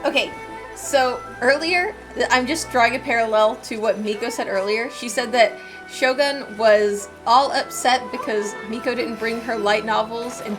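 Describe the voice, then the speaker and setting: A woman's voice: a little high, low-quality recording. A woman gaming streamer giving casual commentary and reading game text aloud. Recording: game music under the voice.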